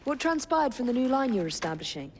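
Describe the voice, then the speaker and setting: An actress playing a determined young Victorian assassin, crisp, dry and polite. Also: female voice, high-pitched, very animated, quick pace, slight background noise. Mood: brisk, dry. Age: young adult. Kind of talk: video game voice acting.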